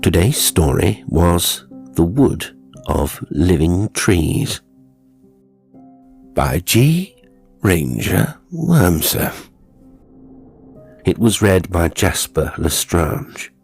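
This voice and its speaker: A man reading a horror audiobook as narrator, with measured, suspenseful and deliberate delivery. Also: low-pitched, sing-song, gravelly, a little mellow, husky, soft background music.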